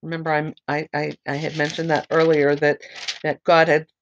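Woman doing a bible study, voice going out from allergies, reads slow and spells names out. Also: low-pitched, little pitch movement, dull audio, slight background noise. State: losing her voice from allergies. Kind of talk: reading scripture aloud and explaining.

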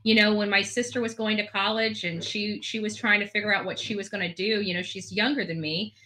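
A middle-aged American woman with a bright, forward voice at an average pitch. She talks casually and frankly, in informal conversational phrases. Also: steady tone, fairly quick, rough recording. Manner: frank, informal, self-deprecating. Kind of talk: casual chat. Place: indoors at a desk on a video call.

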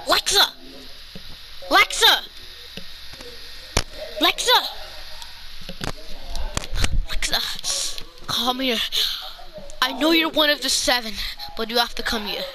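A high, swooping voice acts out a video game roleplay at a slow pace. The speech is halting, with repeated words, over a noisy home recording. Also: big pitch swings, a crowd in the background. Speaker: player acting out a roleplay.

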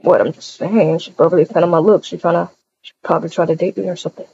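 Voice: mid-range voice, steady tone, racing, vocal fry, mellow, husky. A performer playing a laid-back, cocky young man in a casual roleplay, speaking in an offhand, teasing way. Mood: smug. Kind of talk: casual improvised roleplay acting. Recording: dull, lo-fi home microphone.

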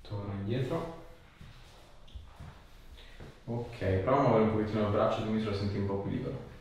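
Guy chiropractor, low voice, short gentle instructions, really echoey and far from the mic. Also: a little fast. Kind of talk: hands-on exam instructions and explanations to a patient. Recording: echoey room, distant mic, background noise.

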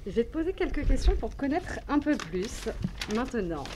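A woman's voice: high-pitched, very animated, fairly quick, darkish tone, a little hiss or hum. A young adult woman, asking questions casually as a radio interviewer. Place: small dim indoor lounge. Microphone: handheld interview microphone.

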